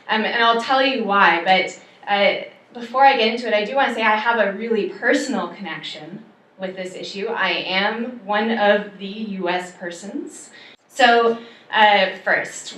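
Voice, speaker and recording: A woman's voice: medium pitch, fairly bright tone. Woman lawyer on a panel, earnest and emphatic, bit of um and uh, roomy echo. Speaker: civil liberties lawyer on a panel. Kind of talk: prepared panel remarks. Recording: echoey room.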